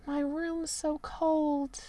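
A woman's voice: high-pitched, level tone, extremely slow, mellow, dry recording, pitched above the performer's own voice. A woman doing a melodramatic young manservant. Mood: mournful. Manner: mournful, overly dramatic whining. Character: young male servant, melodramatic and self-pitying.

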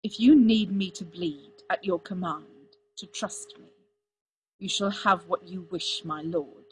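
A woman's voice: medium pitch, normal speed, a bit bright, a little hiss or hum, natural voice, low-quality recording. A woman voicing a proud, stubborn young crown prince with a clipped, commanding manner, in an audiobook story. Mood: bitter.

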